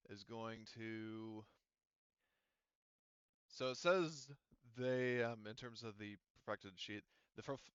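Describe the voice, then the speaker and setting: A man acting as game master of an online tabletop roleplaying game, explaining rules in a halting, deliberate way over a voice chat. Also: mid-range voice, fairly bright tone, clear, resonant tone, dry recording, muffled recording. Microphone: online voice call microphone.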